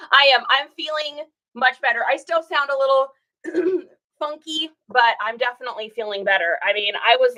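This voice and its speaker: A woman in her thirties chats casually on a livestream, greeting viewers one by one and laughing easily. Her voice sits a little high and sounds very bright, with a lingering scratchiness left over from a recent cold. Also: poor audio. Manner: chatty, greets viewers by name, laughs often. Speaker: reseller livestream host.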